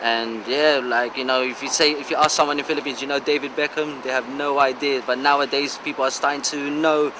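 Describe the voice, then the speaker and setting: A man's voice: medium pitch, level tone, a little fast, hardly any pauses, background noise. A man in his thirties, a football player, giving a casual interview in an eager, rambling, informal way. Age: 30s.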